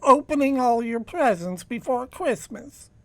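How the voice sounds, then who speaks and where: A man's voice: very high-pitched, lively intonation, unhurried, no echo, full character voice. A man playing a meek, prissy, mild-mannered neighbor in an old-time radio comedy, hesitant and fussy. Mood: fussy, scolding.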